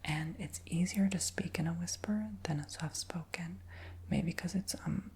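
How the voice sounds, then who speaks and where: A man whispering through a rambling ASMR storytime chat, his voice high-pitched for a man, with frequent ums and likes. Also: measured pace, a little mellow, slightly rough. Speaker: ASMR creator.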